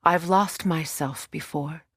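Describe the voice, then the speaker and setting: A woman's voice: low-pitched, takes it slowly, fairly bright tone, husky, dry recording, heavily acted. A warrior goddess from a fantasy video game, grave and composed. Her lines are formal and earnest, delivered as dramatic dialogue in a close, dry recording. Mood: measured, serious. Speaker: video game character voice actress.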